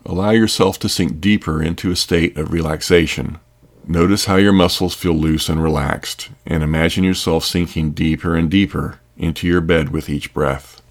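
A man narrating a scripted sleep meditation in a calm, soothing, gentle manner. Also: deep voice.

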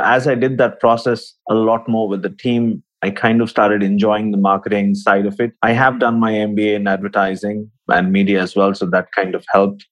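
Low-voiced man from India in his forties, easy and unhurried, chatting as a podcast guest. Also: lo-fi sound. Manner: relaxed, conversational, with fillers. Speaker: podcast guest, agency founder. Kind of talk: casual interview answers.

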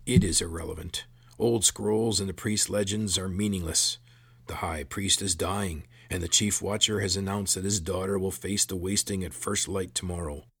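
A man voicing a gruff, stern, curt sergeant of the palace guard in a fantasy story. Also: steady tone, moderate pace, a bit bright, no room sound, exaggerated voice. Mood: dismissive. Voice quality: gruff, rumbling. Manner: curt, authoritative.

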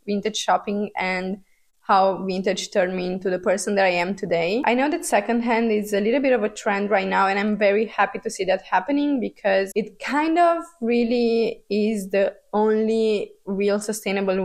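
A woman's voice: mid-range voice, normal speed. A young woman from Romania hosts a casual, personal podcast monologue, thinking aloud in a conversational way as a Romanian speaker. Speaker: podcast host.

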